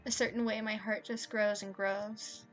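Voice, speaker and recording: A woman voicing a nervous, lovesick man in an audio story, with a halting, stammering, anxious way of talking. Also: medium pitch, little pitch movement, faint music behind, natural voice. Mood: earnest, reciting.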